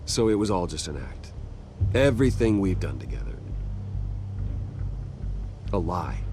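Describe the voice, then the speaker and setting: An actor playing a brooding, earnest vigilante hero in a dramatic video game scene, speaking gravely. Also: male voice, sits low, very animated, measured pace, background noise. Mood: hurt, earnest. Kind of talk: dramatic game cutscene acting. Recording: loud music behind the voice.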